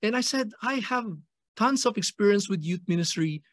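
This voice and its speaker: A man's voice: sits high, lively intonation, moderate pace. A middle-aged man with a Filipino accent giving an earnest chapel sermon, repeating key phrases for emphasis. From Philippines. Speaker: pastor. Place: indoor chapel at a lectern. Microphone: stand mic in front of the mouth.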